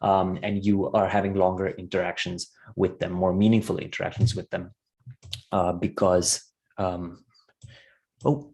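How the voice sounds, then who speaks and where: A young man with a fairly low voice runs a live marketing webinar from his computer. He talks in a loose, rambling way, piling on fillers and restarting his sentences. The sound comes through a plain computer microphone. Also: flat delivery, a little slow, darkish tone. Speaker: webinar host, content marketer. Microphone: computer mic on a live webinar.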